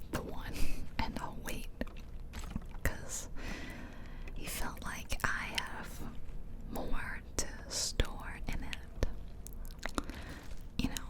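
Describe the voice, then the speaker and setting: A woman whispering softly and breathily in a chatty, confiding ASMR style, close to the microphone. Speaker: ASMR video creator. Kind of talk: whispered ASMR casual storytelling. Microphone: close ear-to-ear ASMR microphone.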